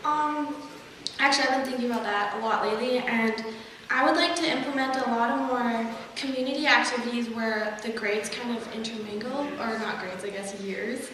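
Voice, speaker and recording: A woman's voice: on the high side, normal speed. A young woman from Russia whose first language is Russian, giving an earnest campaign speech as a student candidate, laughing nervously now and then. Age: young adult. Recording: echoey hall, distant mic, noisy.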